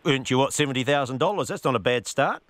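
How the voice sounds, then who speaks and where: A man's voice: mid-range voice, animated, fast talker, fairly bright tone, no room sound. A man interviewing as a radio sports editor, asking questions with small stumbles and restarts. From New Zealand.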